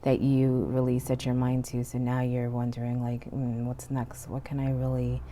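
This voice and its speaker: A woman giving a casual tarot reading, sounding stuffy and hoarse from illness, chatty with frequent "okay?" and "you know". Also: extremely low pitch, completely flat delivery, moderate pace, warm tone. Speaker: tarot reader. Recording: faint background noise.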